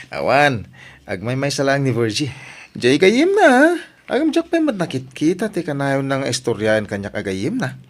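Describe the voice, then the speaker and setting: A man's voice: mid-range voice, wildly swooping pitch, moderate pace. A man playing a mischievous, coaxing young suitor in an Ilocano audio drama, with an Ilocano Filipino accent and a bantering manner. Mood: teasing protest.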